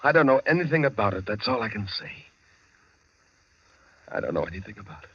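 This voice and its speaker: An actor playing an anxious, earnest intellectual accused of murder, stammering in 1940s radio style. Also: male voice, on the low side, sing-song, brisk, fairly bright tone, slightly rough, no echo, heavily acted. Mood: desperate, dazed. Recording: dull vintage 1940s radio recording.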